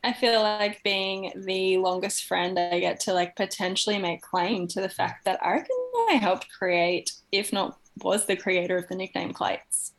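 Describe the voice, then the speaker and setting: A woman's voice: mid-range voice, little pitch movement, normal speed, hardly any pauses, faint music behind. A cheerful woman in her thirties, a guest on a podcast, talking casually over a video call. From Sydney, Australia. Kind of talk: casual interview chat.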